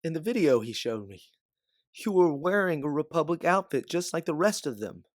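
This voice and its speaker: A man playing an older, protective bounty hunter mentor in an audio drama, speaking in an earnest, measured way. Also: a little high, sing-song, no room sound, studio quality, pitched above the performer's own voice. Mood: explaining. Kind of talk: dramatic audio fiction acting.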